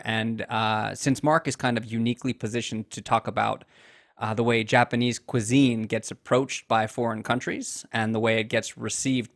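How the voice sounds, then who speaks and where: Man co-hosting a podcast, gravelly fry in the voice, dry close mic, measured. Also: mid-range voice, dry recording. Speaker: podcast co-host.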